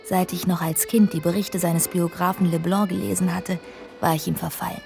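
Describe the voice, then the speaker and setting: An actress playing a young American bank teller turned would-be adventurer, narrating and speaking in a dreamy, often whispered way. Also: female voice, fairly low pitch, steady tone, moderate pace, gravelly, over music. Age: young adult. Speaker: narrator and heroine. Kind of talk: radio play narration and dialogue.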